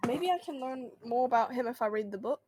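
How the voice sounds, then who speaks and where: A woman's voice: fairly high pitch, expressive, brisk, background noise. A woman playing a tabletop role-playing game, chatting casually in and out of character in a hesitant, rambling way.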